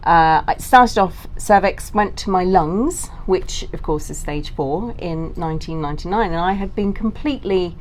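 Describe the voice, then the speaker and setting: A woman's voice: lowish voice, normal speed, hardly any pauses. A middle-aged woman speaks as a guest in a conversational interview. Her voice sits well down in the female range. A light layer of background noise comes through on the recording. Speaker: interview guest, cancer survivor and author. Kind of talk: conversational interview, telling personal story.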